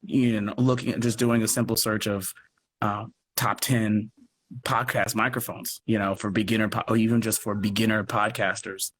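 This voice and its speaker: A man talking earnestly in a casual online interview, haltingly as he thinks aloud. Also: on the low side, flat delivery, a bit bright, slight background noise. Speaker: podcast producer and guest. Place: live social media video call.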